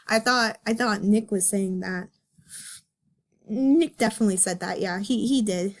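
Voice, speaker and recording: A woman's voice: mid-range voice, a little slow. A woman giving casual, slangy and incredulous reaction commentary as an amateur comic reader.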